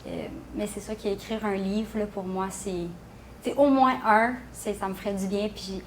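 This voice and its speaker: A woman's voice: mid-range voice, fairly even intonation, moderate pace, slight background noise, low-quality recording. A young woman with a Quebec French accent, chatting casually and informally, full of little filler phrases. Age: young adult. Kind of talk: casual interview chat.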